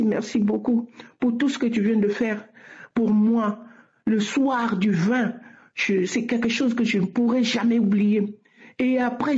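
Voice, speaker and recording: A woman's voice: a little high, warm tone. A woman giving an earnest spoken religious testimony, often repeating her words. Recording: muffled lo-fi recording.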